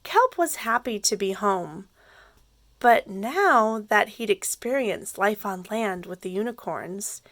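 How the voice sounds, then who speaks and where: A woman teacher reading a children's story aloud expressively, pausing to explain things simply for young listeners. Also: medium pitch, expressive, fairly bright tone, no echo.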